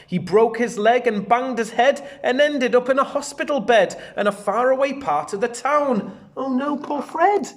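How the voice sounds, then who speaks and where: A man, a primary teacher, reading a storybook aloud in an expressive sing-song way with chatty asides. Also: extremely high pitch, normal speed. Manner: animated, sing-song rhyming read-aloud.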